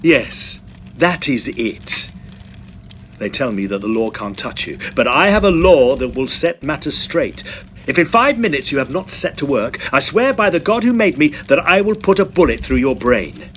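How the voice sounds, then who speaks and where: A man's voice: mid-range voice, very animated, normal speed, fairly bright tone, slight background noise. An actor playing a stern young male student, commanding and menacing, in an audio drama. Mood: cold menace. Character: stern, righteous young male student forcing a villain at gunpoint. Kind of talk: audio drama acting and first-person narration.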